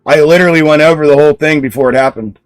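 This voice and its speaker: A man hosting a live stock trading stream, talking with a loud speaking voice and answering viewers bluntly. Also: a little high, very fast, no echo, soft background music. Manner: blunt, answers chat comments directly. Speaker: stock trading livestream host. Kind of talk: casual livestream commentary.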